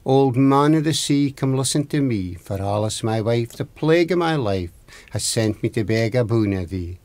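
A man's voice: medium pitch, expressive, normal speed, darkish tone, dry recording, lightly acted. A man voicing a henpecked, obedient fisherman in a folktale, chanting and beseeching with a Scottish accent. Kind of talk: oral folktale storytelling.

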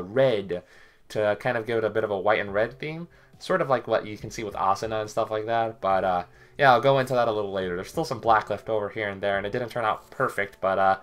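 Young man rambling to his webcam. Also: lowish voice. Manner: rambling, many "uh" fillers, relaxed. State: slightly sick with a cough. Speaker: tech vlogger. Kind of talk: casual talk to webcam. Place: small bedroom with hardware boxes. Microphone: webcam at desk.

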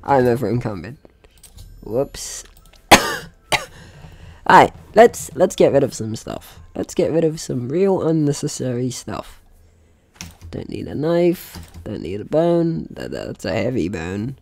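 Man with wildly swinging pitch, gaming commentary. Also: big pitch swings, slow pace, vocal fry, pauses often, a little mellow. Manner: playful, exclaiming, thinking aloud. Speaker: gaming video host. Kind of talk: casual let's play commentary.

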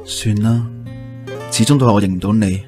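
An actor playing an earnest, devoted young suitor in a radio drama, speaking insistently and with some guilt. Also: male voice, fairly low pitch, brisk, mellow, close to an everyday voice. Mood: apologetic. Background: music.

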